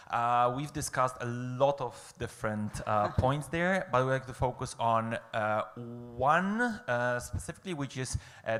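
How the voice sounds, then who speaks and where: A man's voice: mid-range voice, fairly bright tone. Man from Poland giving a conference report. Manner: hesitant, many fillers and restarts. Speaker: rapporteur for a working group. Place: conference hall on an island.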